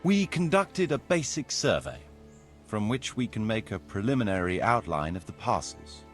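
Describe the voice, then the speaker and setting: An actor playing a formal, courtly medieval land surveyor in a video game, speaking precisely and deferentially. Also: male voice, fairly low pitch, very animated, normal speed, soft background music. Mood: formal, assured. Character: formal, courtly medieval locator Marius, eager planner and surveyor. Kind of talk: video game cutscene acting.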